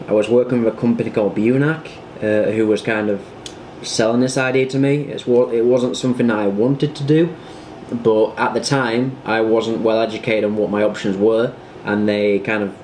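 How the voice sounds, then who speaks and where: A man's voice: on the low side, a little mellow, a little hiss or hum. A British man in his twenties, chatting casually to the camera in a rambling vlog style with plenty of fillers. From United Kingdom. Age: 20s. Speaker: vlogger.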